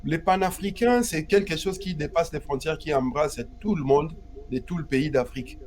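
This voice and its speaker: A man's voice: a little high, moderate pace, darkish tone, slight background noise. A man from the Democratic Republic of Congo, interviewed as an activist, talking emphatically and repeating his key phrases. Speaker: guest activist. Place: Paris.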